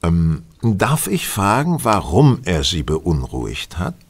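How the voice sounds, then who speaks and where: An actor playing a self-assured, vain master detective in an audio drama, courteous, pompous and measured. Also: male voice, low-pitched, wildly swooping pitch, slight background noise, full character voice. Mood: probing, thoughtful. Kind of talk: German audio drama acting.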